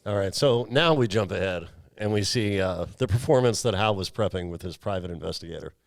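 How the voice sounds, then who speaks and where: A man's voice: low voice, brisk, vocal fry. A man hosting a show, recapping a film in a casual, chatty style. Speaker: podcast host.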